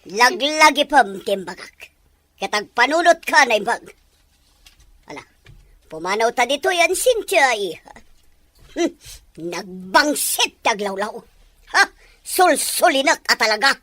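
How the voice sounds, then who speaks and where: A woman's voice: fairly high pitch, sing-song, measured pace, long pauses, pushed and bright, no echo, full character voice. A woman playing a domineering, haughty rich mother in a melodramatic audio drama, scolding and imperious. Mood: threatening, disdainful. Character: domineering, haughty rich mother pushing her son to marry. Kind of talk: melodramatic radio-style drama acting.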